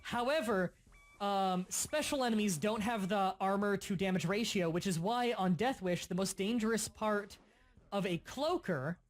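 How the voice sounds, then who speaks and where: A young man hosting a casual gaming livestream, explaining game mechanics in quick bursts and sometimes stuttering. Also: high voice. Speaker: livestream host. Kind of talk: casual gaming stream chat.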